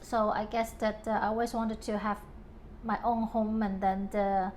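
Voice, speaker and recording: A woman's voice: medium pitch, level tone, normal speed, darkish tone, a little hiss or hum. A woman from Hong Kong talking casually in an interview about her home and design, with many fillers and frequent laughs.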